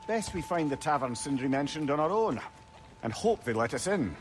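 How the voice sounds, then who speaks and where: A wry, talkative sage head. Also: male voice, a little high, big pitch swings, moderate pace. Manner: wry, learned and chatty. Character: disembodied head of a wise old male sage. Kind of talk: video game cutscene acting. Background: game score music.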